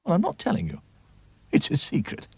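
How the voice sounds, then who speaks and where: Very animated male children's storyteller. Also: on the high side, a little fast, gravelly, slightly rough, dry recording. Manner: playful, expressive. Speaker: narrator.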